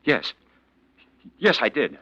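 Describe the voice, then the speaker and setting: A weary, desperate professor in a 1940s radio mystery. His voice is bright and highly animated, stammering with urgency, on a close, dry but dull vintage recording. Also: takes it slowly, dry recording, full character voice. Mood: desperate pleading. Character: weary, desperate professor falsely suspected of murder.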